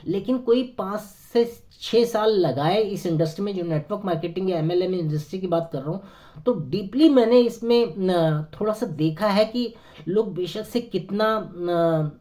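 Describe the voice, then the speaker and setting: A hoarse man with a sore throat, talking earnestly to camera as a network marketing consultant, persuading with many hesitant fillers. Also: high voice, moderate pace, warm tone. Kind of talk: persuasive motivational talk to camera.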